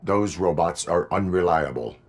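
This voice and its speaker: A very deep, stoic cyborg cop. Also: male voice. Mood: flat, grave. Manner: deadpan, measured, terse. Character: stoic male cyborg police officer, flat and terse.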